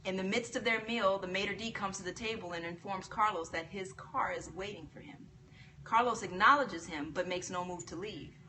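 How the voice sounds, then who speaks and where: A woman's voice: mid-range voice, moderate pace, sharp tone. A woman hosting a live-stream book club, reading a novel aloud and reacting to viewers' comments. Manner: reads a novel aloud, then breaks off to read out and laugh at viewer comments. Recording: distant mic with some background noise.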